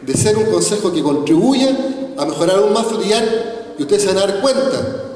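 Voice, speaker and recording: A man's voice: high voice, animated, moderate pace, a little mellow, slight background noise. A man in his forties, a mayor giving an earnest, rhetorical speech from a podium, often adding tag questions. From Chile. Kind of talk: formal public speech. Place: large hall, on stage at a podium. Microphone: podium microphone, recorded from a far camera. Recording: echoey hall from far off.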